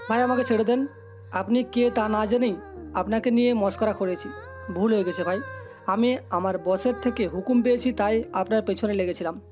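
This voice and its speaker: A woman's voice: medium pitch, normal speed, lightly acted. A woman voicing a frightened, submissive male gang underling in an audio story. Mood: pleading. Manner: pleading, submissive. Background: music.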